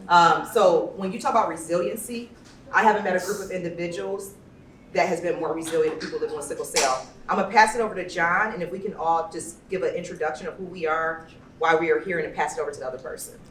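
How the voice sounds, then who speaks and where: Echoey, lower-voiced woman hosting a panel. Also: quick pace. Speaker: panel moderator and event host. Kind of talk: informal spoken panel introduction. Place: indoor event venue, amplified panel talk. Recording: echoey room, distant mic, faint noise.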